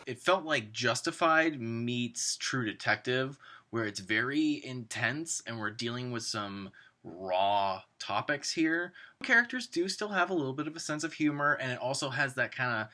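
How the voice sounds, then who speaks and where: A man's voice: medium pitch, animated, normal speed, pushed and bright. A man giving an informal spoken review of a script, talking in an emphatic, conversational way.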